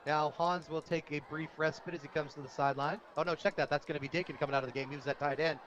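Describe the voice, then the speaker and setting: An American man calling high school football play-by-play on the radio, excitable when a big play comes. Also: very fast, slight background noise. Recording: dull, lo-fi outdoor radio broadcast.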